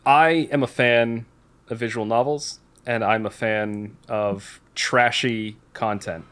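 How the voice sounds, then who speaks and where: A man's voice: medium pitch, steady tone, slow, fairly bright tone. A man hosting a podcast in casual chat, talking in a rambling, candid way and often interrupting his own sentences. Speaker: podcast host.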